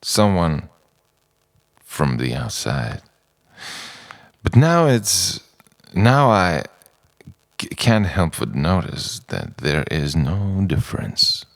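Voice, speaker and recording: A man delivering an introspective spoken-word monologue in short, halting, dramatic phrases. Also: sits low, big pitch swings, gravelly, no echo, clean studio sound. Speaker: narrator of own written reflection.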